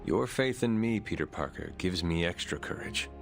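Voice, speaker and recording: An actor playing a calm, formal young male hero who speaks in measured tones. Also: deep voice, vocal fry, faint music behind. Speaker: player character hero. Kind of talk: video game cutscene.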